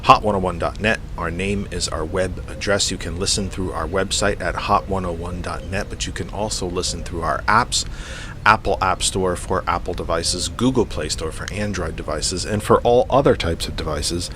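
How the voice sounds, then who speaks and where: A male radio host with a low voice and a stuffy, allergy-congested sound. He delivers live program announcements deliberately, doubling back on phrases to stress them. A low rumble of background noise runs under the recording. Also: bright, forceful tone. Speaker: radio talk show host.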